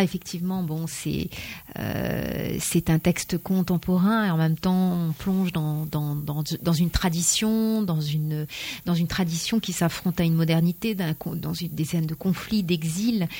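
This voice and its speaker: A woman interviewer with a lowish voice who gropes toward her questions, repeating words and restarting phrases. She keeps a conversational, curious tone. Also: a little mellow. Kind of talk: radio-style interview.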